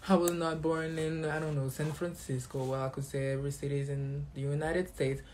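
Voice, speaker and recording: A man's voice: fairly high pitch, flat delivery, brisk, background noise. Sassy guy from belgium ranting at haters in english, french is his first language, casual vlog talk. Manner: sassy, defiant, throws shade. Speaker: vlogger. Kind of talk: casual monologue to viewers.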